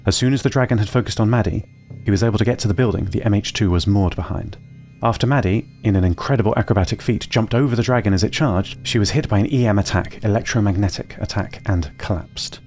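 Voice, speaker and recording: A man tells a scripted audio drama in a calm, measured manner. His voice sits fairly low, and faint music plays quietly under his words. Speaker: narrator.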